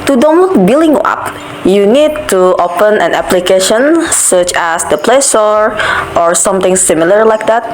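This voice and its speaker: A young woman from Indonesia, narrating a step-by-step app tutorial in a careful, steady way. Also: medium pitch, moderate pace, a little hiss or hum, low-quality recording. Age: young adult. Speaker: student giving an app tutorial. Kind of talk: screen-recorded tutorial voice-over.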